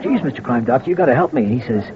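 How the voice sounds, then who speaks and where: A man's voice: mid-range voice, animated, rapid-fire, crowd noise, natural voice. An actor playing a cocky, scheming small-time crook in an old-time radio crime drama, with slangy wise-guy talk. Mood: cocky, conspiring. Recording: dull vintage radio recording with music.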